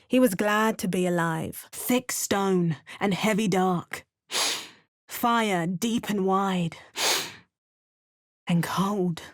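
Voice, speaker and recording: A woman narrating a fantasy video game in measured, scripted storytelling. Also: mid-range voice, dry recording, studio quality.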